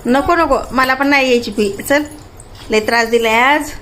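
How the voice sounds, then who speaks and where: A woman's voice: high-pitched, normal speed, fairly bright tone. A young woman with a Marathwada Marathi accent, a mother chatting casually with her toddler, playful and teasing, repeating short questions. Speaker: mother and family vlogger. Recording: faint background noise.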